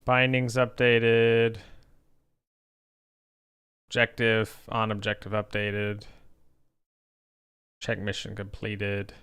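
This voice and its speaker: A man streaming his game development, chatting casually and thinking out loud with many fillers while encouraging viewers. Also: on the low side, completely flat delivery, takes it slowly, long pauses, clean tone. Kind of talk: casual livestream chat while coding.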